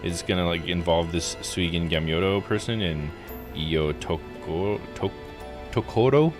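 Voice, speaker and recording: Deep voice guy streaming a game, chill and jokey, thinking out loud, game music pretty loud behind him. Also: measured pace. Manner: laid-back, thinking aloud, jokey paraphrasing. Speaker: streamer playing a visual novel. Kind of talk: casual game commentary with read-aloud text. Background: video game music.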